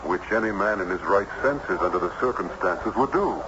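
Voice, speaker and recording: A curious, incredulous judge speaks in a low voice with lively, dramatic swings. His manner is formal, in old-time radio style, over a noisy, muffled recording. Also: normal speed. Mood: intrigued.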